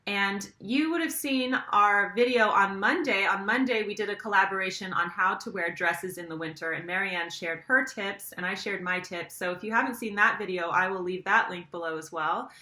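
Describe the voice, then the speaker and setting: A woman's voice: medium pitch, normal speed, few pauses, sharp tone, reverberant. A woman hosting an interview over a video call, effusive and laughing often. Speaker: host.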